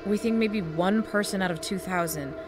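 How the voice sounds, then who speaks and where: A woman's voice: medium pitch, moderate pace, natural voice. Woman as a wary invasion survivor over music. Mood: grave. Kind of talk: audio drama acting.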